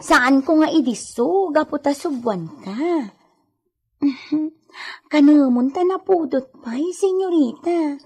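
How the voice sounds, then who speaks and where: A woman's voice: high voice, expressive, slow, dark tone, full character voice. A woman acting an eager, coaxing female helper who tends a sick lady in an Ilocano radio drama. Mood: caring, coaxing. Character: Edita, caring chatty female helper tending a sick lady. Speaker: voice actor.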